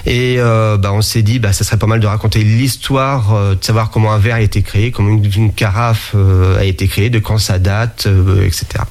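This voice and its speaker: A man's voice: fairly low pitch, fairly even intonation, brisk, hardly any pauses, darkish tone. A man speaking as a sommelier in an interview, talking casually and hesitantly with many fillers and restarts. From France. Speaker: interviewee, sommelier and museum founder.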